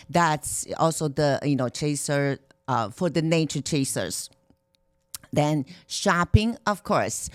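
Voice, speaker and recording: Low-voiced woman presenting. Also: clear, resonant tone, no echo. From Taiwan. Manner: chatty, many fillers and restarts. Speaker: marketing director presenting. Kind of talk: conference presentation. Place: conference room.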